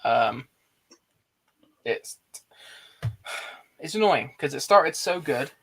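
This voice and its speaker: Male host, mid-pitched with heavy vocal fry, casual conversational delivery. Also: lively intonation, extremely slow, a bit bright. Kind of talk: casual chat.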